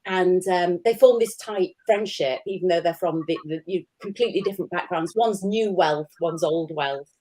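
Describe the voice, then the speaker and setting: English woman from yorkshire, author chatting on a panel, lowish voice. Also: moderate pace, mellow, rough recording. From Yorkshire, England. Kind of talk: conversational panel talk.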